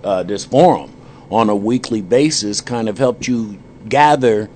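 A man with a very deep voice asks long, winding questions of the group, working through them with fillers. Faint noise runs behind him. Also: unhurried, a little mellow. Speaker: educator asking questions. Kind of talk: group discussion. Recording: background noise.

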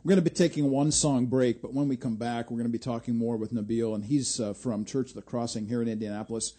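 A man's voice: mid-range voice, fairly even intonation, fast, mellow. A man hosting a church radio interview, steadily affirming his guest with murmured agreement. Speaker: radio show host.

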